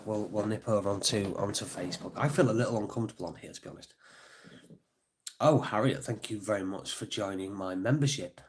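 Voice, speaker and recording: A middle-aged man hosting a casual live stream, a bit bunged up and sniffly, thanking viewers by name with plenty of ums. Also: lowish voice. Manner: chatty, thanks viewers by name, many fillers. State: congested, sniffly.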